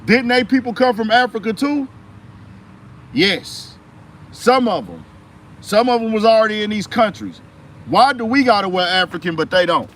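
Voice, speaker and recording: A man's voice: high-pitched, expressive, normal speed, pauses often, a bit bright, a little hiss or hum. An American man delivering an emphatic political commentary monologue in slangy speech, firing off rhetorical questions. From United States. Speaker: commentary host.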